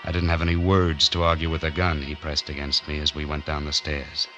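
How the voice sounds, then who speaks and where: A man's voice: extremely low pitch, completely flat delivery, a little fast. An actor playing a broke, hunted man who narrates his own story in terse, slangy 1940s radio noir style. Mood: tense, weary. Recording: muffled vintage 1940s radio, music underneath.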